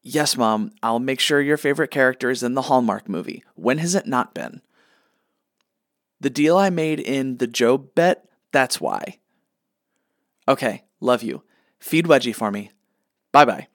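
A man's voice: mid-range voice, expressive, a little slow, long pauses, a bit bright, dry recording. Guy doing a flippant whiny god in a comedy radio play, bossy, dry close sound. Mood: petulant, distracted. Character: petulant, bossy male god, a gamer mama's boy.

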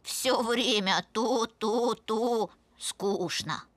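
A woman's voice: on the high side, very slow, noisy background. A woman voicing an eager little toddler animal in a preschool cartoon, childlike and exclamatory, in short simple phrases. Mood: bored, grumbling.

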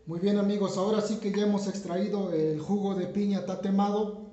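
Mellow-voiced man demonstrating a cocktail. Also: high-pitched, steady tone. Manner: unhurried, many fillers and restarts, addresses viewers directly. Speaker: home bartender, channel host. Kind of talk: step-by-step cocktail tutorial. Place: home kitchen counter, indoors. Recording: roomy, dull lo-fi camera audio.

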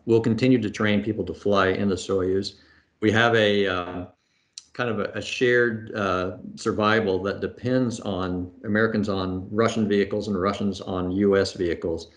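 A middle-aged man answering questions in a relaxed conversational way, pausing often with fillers and restarting phrases, over a video call from a room at home. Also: on the low side. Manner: thoughtful, many fillers and restarts. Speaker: astronaut guest. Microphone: video call webcam.

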